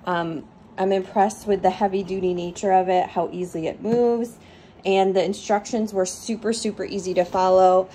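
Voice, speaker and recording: A woman's voice: medium pitch, steady tone, moderate pace, mellow, slight background noise, rough recording. A woman giving a casual, upbeat product review on a phone, with lots of ums while she talks at home. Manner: chatty, upbeat, frequent ums. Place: living room, indoors. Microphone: phone held over the device.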